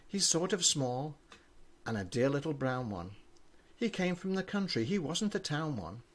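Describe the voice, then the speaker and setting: An older man, a grandfather, reading children's poems aloud with an expressive storybook delivery. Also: fairly high pitch.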